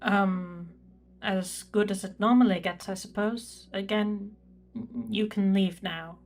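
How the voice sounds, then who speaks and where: A woman's voice: mid-range voice, steady tone, a little mellow. A woman playing a reserved imperial records prefect, dictating a log in a formal, precise manner for a sci-fi audio drama. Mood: flustered. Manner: formal, measured, clinical.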